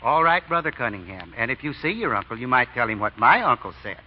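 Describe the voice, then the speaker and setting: A man's voice: mid-range voice, very animated, fast, slight background noise, lightly acted. A man playing a sly, folksy fake deacon in 1940s radio acting, drawling homespun sayings. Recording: dull lo-fi old radio broadcast.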